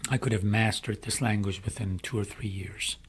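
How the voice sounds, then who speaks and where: A middle-aged man from Iran with an Iranian accent, speaking English as a second language, making a slow, earnest inspirational appeal. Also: on the low side, steady tone, slight background noise. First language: Farsi. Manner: slow, deliberate, emphatic phrasing. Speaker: speaker addressing young people.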